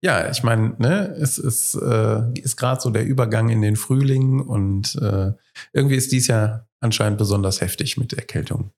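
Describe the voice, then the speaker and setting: A man with a cold and a hoarse voice, chatting as a guest at a kitchen table in a rectory. He agrees readily and chimes in with short replies. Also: mid-range voice, moderate pace, a little mellow. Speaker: podcast guest. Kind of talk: casual conversation.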